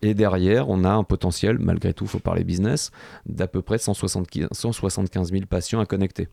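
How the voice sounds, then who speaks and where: A man's voice: deep voice, steady tone, a little fast, vocal fry, warm tone, dry recording, studio quality. A man chatting as a panelist on a radio show, with wry joking asides. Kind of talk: casual radio panel chat. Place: radio studio.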